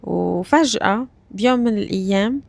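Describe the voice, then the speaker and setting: A woman in her thirties from Lebanon, with a Lebanese Arabic accent, hosting a language-learning podcast. She talks slowly and deliberately, repeats phrases and checks in with her listeners. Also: mid-range voice, gravelly, darkish tone, no echo. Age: 30s. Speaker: Arabic teacher and podcast host. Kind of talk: slow, clear podcast lesson for learners.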